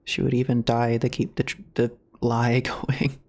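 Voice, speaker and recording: A man's voice: medium pitch, level tone, unhurried, a little mellow. A man giving casual live reactions as a game streamer, rambling and searching for words.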